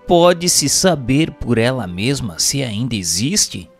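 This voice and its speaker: A man's voice: mid-range voice, sing-song, takes it slowly. A man reading a script as narrator and questioner, in a formal, declamatory way. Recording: long hall reverb. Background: faint music.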